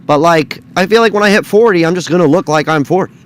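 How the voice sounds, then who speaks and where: A man's voice: on the high side, fast talker. A man in his thirties talking in a casual vlog, chatty, blunt and joking. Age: 30s. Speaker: video creator.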